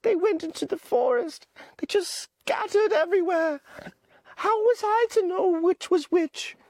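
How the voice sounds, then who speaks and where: A woman's voice: very high voice, a little slow, a little mellow, no echo, pitched above the performer's own voice. A woman voicing an anxious, indignant schoolboy with glasses, in a whiny, protesting tone.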